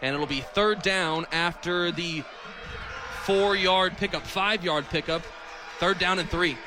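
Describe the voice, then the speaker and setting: A man in his twenties with an American accent calling football play-by-play on the radio in an energetic, descriptive way. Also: sits high, a little slow, a crowd in the background, background noise. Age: 20s.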